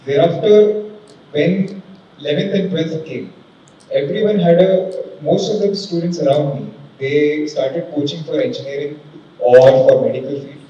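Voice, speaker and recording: A man in his twenties gives an earnest motivational talk in a formal, measured way. His voice is mellow and fairly high. It is heard from far off in a very echoey hall, with a dull sound and faint noise behind. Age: 20s. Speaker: guest speaker, civil judge. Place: large conference hall.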